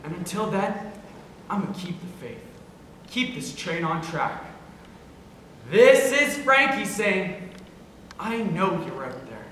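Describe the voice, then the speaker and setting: A man's voice: high voice, very animated, slow, long pauses, a bit bright, full character voice. A man playing an earnest, hopeful young late-night radio host in a stage play. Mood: tender. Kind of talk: theatrical rhyming radio sign-off. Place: stage play recorded in a hall. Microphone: distant camera or room microphone. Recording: very echoey hall, distant mic, noisy.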